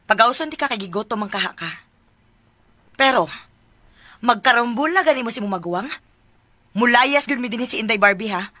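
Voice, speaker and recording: Bright-voiced, gently firm young woman. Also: fairly high pitch, lively intonation, brisk, pauses often, no echo. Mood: warning. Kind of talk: radio drama acting.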